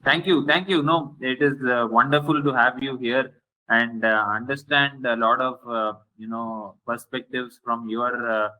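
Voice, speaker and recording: Male webinar moderator, bright forward tone, hesitant delivery with frequent pauses. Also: mid-range voice, steady tone, low-quality recording.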